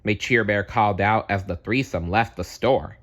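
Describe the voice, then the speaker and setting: A man narrating a children's story aloud with expressive, deliberate phrasing. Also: lowish voice. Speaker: storybook reader.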